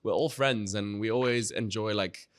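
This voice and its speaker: A man's voice: fairly low pitch, moderate pace, smooth. A young man from Thailand, a guest in an interview, answering casually and often saying "you know" and "like". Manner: relaxed, frequent "you know" and "like".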